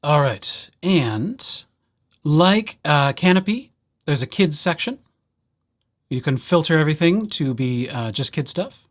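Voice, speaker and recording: A man presenting a casual software tutorial as a library host, with playful, wry asides. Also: a little high, expressive, a little slow. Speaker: library presenter hosting a digital services tutorial.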